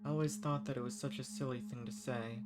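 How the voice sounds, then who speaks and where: Loud music surrounds an ancient banished villain played by a man, a living weapon with a mid-pitched voice. He is refined and wistful, dangerous but softly flirtatious. He speaks intimately, with hesitant pauses, as in a scripted roleplay audio drama. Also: steady tone, lightly acted.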